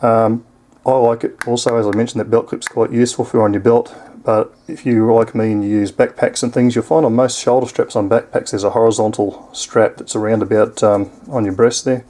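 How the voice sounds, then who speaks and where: A man's voice: mid-range voice, level tone, fairly quick, warm tone. A man with an Australian accent giving a casual, unscripted product demonstration in an easygoing, explanatory way. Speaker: product reviewer.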